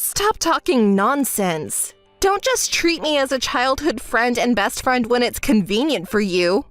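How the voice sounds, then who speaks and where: A woman's voice: fairly high pitch, very animated, unhurried, no echo, soft background music, pitched below the performer's own voice. Woman voicing a cool, blunt young woman. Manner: level, dry, scolding. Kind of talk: dramatized story voice acting.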